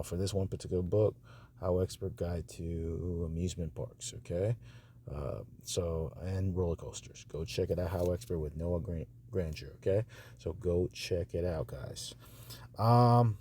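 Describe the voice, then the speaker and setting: A man hosting a casual review of voice-over auditions, speaking in halting, repetitive asides as he goes. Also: low voice, dark tone, slight background noise. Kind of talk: casual screen-recording commentary.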